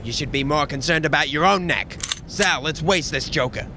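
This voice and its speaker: A man's voice: on the high side, moderate pace, noisy background, natural voice. A man playing a tough, suspicious gangster boss who talks in blunt wiseguy slang in a comic crime audio drama. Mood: menacing, puzzled.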